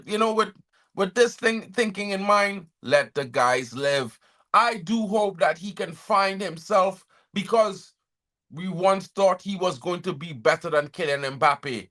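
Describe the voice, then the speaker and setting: A man's voice: high-pitched, pushed and bright. A man from Trinidad and Tobago hosting a football fan channel, reading transfer news aloud and cutting in with chatty, opinionated asides to his viewers.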